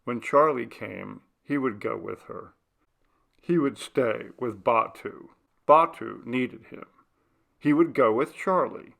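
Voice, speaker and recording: Male audiobook narrator, mid-pitched, heavy vocal fry, unhurried literary fiction read. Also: fairly bright tone. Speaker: audiobook reader. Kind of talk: literary short story narration with acted dialogue.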